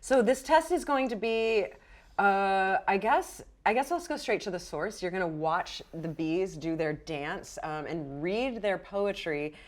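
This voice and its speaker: A woman's voice: mid-range voice, animated, unhurried. A woman running a tabletop game as game master, informal and thinking aloud as she narrates.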